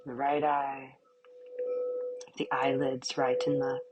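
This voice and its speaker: A woman guiding a yoga nidra relaxation in a calm, soothing manner, with short, spaced phrases. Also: a bass voice, slow pace, low-quality recording. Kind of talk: guided relaxation meditation.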